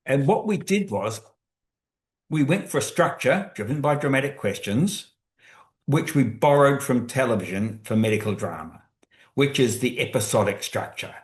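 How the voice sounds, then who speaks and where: Male author, mid pitch, explanatory webinar talk, roomy echo. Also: animated, normal speed. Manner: explanatory, thinks aloud with restarts. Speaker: co-author guest speaker.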